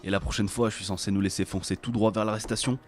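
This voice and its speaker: A man acts out a grudging, sharp-tongued adventurer in an audio drama. The voice sits low and moves quickly, with dry, cynical scorn in the delivery. Faint music plays underneath. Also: fairly even intonation, lightly acted. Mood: irritated. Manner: sardonic, scolding, dry. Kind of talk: French audio fiction acting.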